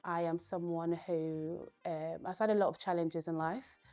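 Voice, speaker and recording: A young woman, a Spanish speaker from Spain, giving earnest, thoughtful interview answers and pausing often to gather her words. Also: fairly low pitch, fairly even intonation, dark tone, faint music behind. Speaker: guest, powerlifter.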